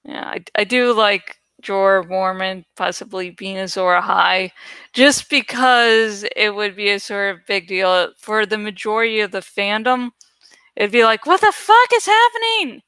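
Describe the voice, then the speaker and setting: A woman casually rambling as she edits artwork for her channel, thinking out loud, self-deprecating and swearing freely. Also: medium pitch, smooth, no room sound. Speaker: video creator and host. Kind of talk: casual rambling commentary while editing artwork.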